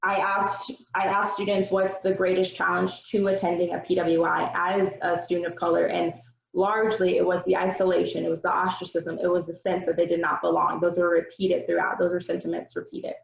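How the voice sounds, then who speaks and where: A young adult woman giving an earnest, measured seminar presentation with frequent filler words. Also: lowish voice, flat delivery, gravelly, a little hiss or hum. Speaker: research presenter. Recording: roomy, dull lo-fi sound.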